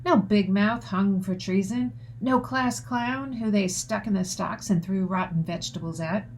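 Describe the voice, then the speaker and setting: A woman reads a middle-grade novel aloud in an expressive storytelling style. Her voice sits at an ordinary pitch for a woman. There is some faint ambient noise behind her. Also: a little slow, rough recording. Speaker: author reading aloud. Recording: noticeable background noise.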